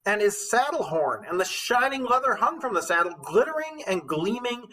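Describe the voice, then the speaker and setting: Teacher, husky voice, expressive poetry read-aloud, dramatic emphasis, informal asides. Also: medium pitch, normal speed. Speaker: literature teacher reading aloud. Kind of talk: expressive poem read-aloud with casual commentary.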